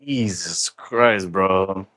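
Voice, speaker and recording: A man co-hosting a casual sports podcast, dropping in quick, disbelieving interjections. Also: sits low, a bit bright.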